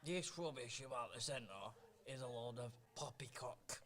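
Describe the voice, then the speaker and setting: A man's voice: medium pitch, normal speed, a bit bright, natural voice. A man playing a stubborn, long-serving community centre kitchen worker in a radio play, grumbling in colloquial speech. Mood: indignant, stubborn.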